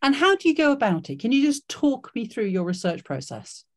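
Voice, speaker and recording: A woman's voice: on the high side, very animated, brisk. Woman hosting an online careers workshop. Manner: encouraging, many fillers and restarts. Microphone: video call mic.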